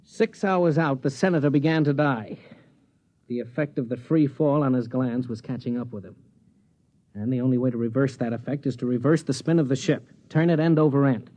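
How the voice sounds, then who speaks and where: An actor playing a devoted but stubborn rocket pilot husband in 1950s American radio drama style. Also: male voice, mid-range voice, a little fast, dark tone, lightly acted. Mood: earnest, explanatory. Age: adult. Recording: muffled vintage 1950s radio recording.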